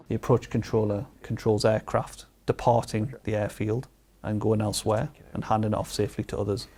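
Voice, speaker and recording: A man's voice: fairly low pitch, darkish tone. A man, a military air traffic control instructor, giving an explanation in an interview.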